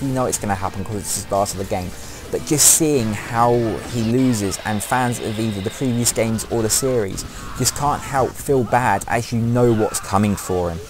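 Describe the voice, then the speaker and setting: A man giving scripted opinion commentary as the presenter of a video game countdown. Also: mid-range voice. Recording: music behind the voice. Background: loud music.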